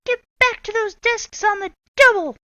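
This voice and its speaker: A woman's voice: very high voice, moderate pace, bright, forceful tone, no room sound, highs cut off, voice pushed up. Viola Swamp, a scratchy-voiced witch teacher. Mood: barking. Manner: barking, threatening. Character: scratchy, bossy witch-like female substitute teacher.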